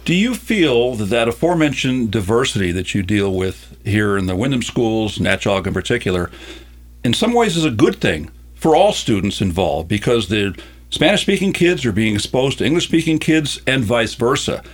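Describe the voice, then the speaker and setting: A man's voice: medium pitch, very animated, moderate pace. An older man interviewing a guest, asking conversational questions with chatty, self-deprecating asides. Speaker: interviewer, local show host.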